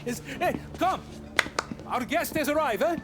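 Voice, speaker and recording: High-pitched, effusive Italian restaurateur. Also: male voice, a little slow. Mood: fawning. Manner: fawning, excitable hospitality. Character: effusive, eager-to-please male Italian restaurateur. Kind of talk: cinematic crime game acting. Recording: voice over background music.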